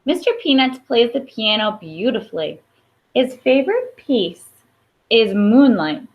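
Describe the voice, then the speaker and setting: A woman reads a children's story aloud in a fairly high voice that swings expressively up and down. She takes her time and pauses for emphasis. The recording sounds a little dull and lo-fi. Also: very animated. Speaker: storybook reader. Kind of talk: picture-book read-aloud with brief asides.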